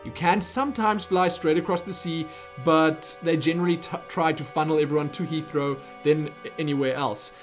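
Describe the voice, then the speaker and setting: A young South African man hosts a casual Q&A, talking in loose, rambling runs that trail off and restart. His voice sits fairly high for a man. Faint music plays underneath. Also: steady tone, normal speed, hardly any pauses. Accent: South African English. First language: Afrikaans. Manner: chatty, rambling, self-interrupting. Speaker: YouTube Q&A host. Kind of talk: casual viewer Q&A.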